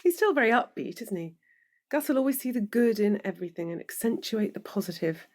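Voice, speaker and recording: A woman's voice: fairly high pitch, lively intonation, fairly quick, natural voice. Audio drama role for an actress: anxious professional woman, forties, emotional, easily rattled, slightly needling, naturalistic delivery. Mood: troubled. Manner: emotional, questioning, easily worked up. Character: anxious, wine-drinking middle-aged professional woman, wistful and needling.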